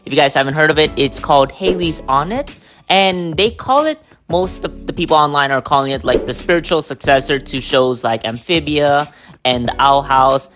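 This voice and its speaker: A man's voice: on the high side, normal speed, a bit bright, soft background music. A man hosting his own podcast, giving a casual, unscripted show review in a chatty way, with lots of fillers and restarts. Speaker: podcast host. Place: New York City.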